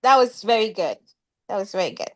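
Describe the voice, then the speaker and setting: A woman's voice: medium pitch, sing-song, a little fast, a bit bright. A woman judging a singing competition, giving emphatic spoken feedback to a contestant and repeating phrases for weight.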